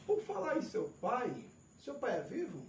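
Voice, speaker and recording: A man's voice: very high voice, wildly swooping pitch, a little slow, distant mic. A man with a Northeastern Brazilian accent, a pastor interviewing a guest in a polite, ceremonious, formal church style. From Pernambuco, Brazil. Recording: echoey room from afar with faint noise.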